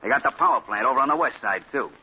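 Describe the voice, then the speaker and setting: A man's voice: medium pitch, lively intonation, very fast, breathy edge. An actor playing a surly, shifty male crook who poses as a prophet, using tough slangy street talk, in 1940s radio acting. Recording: dull scratchy 1940s radio broadcast.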